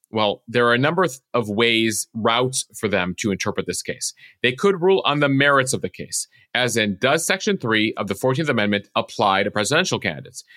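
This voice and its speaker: A man's voice: mid-range voice, sharp tone, no echo, studio quality. An American man hosting a news podcast, explaining developments step by step in a conversational style. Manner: explanatory, walks through points step by step.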